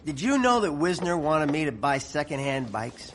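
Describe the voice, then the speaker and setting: A man's voice: on the high side, sing-song, normal speed, noisy background. An actor playing a blunt, blustering middle-aged American general in a radio drama, folksy and profane, tripping over his words. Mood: incredulous, mocking. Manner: stammering, exasperated, profane, folksy.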